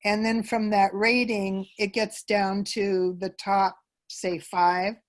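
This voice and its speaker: A woman's voice: mid-range voice, flat delivery, slow. A woman giving conversational answers as an interview guest about her work in a theater troupe.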